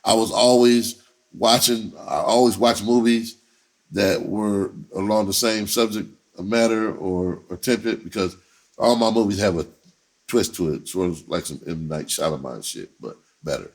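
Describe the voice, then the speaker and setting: A man's voice: on the low side, moderate pace. A man speaking in a casual storytelling monologue, conversational and profane, with streetwise slang. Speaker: entertainer telling personal stories on own channel.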